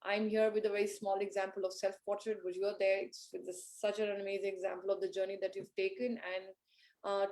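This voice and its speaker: A woman's voice: mid-range voice, a little mellow, low-quality recording. Admiring woman interviewer, hesitant phrasing. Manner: admiring, hesitant with many fillers. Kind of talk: conversational interview.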